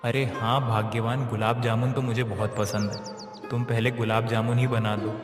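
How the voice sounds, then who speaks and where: A man's voice: medium pitch, flat delivery, normal speed, lightly acted. A man playing a poor, worried village farmer husband, humble and plaintive, in a cartoon moral story. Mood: eager, coaxing. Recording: echoey reverberant voice over loud music.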